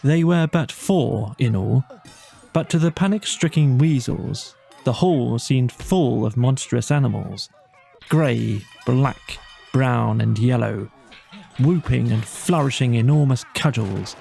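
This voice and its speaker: Unhurried, gravelly male storyteller. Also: medium pitch, mellow, soft background music. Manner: dramatic, measured storytelling. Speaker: audiobook narrator. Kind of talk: scripted bedtime storybook narration.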